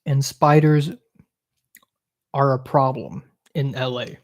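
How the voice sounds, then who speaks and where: A man's voice: medium pitch, fairly even intonation, takes it slowly. A young man from Hong Kong with an American accent, chatting casually on a livestream and answering viewer questions with blunt, self-mocking remarks.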